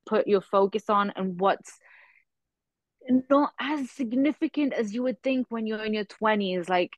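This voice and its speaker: A woman's voice: medium pitch, unhurried, dry recording. A woman in her thirties, a podcast host chatting casually in conversational discussion. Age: 30s.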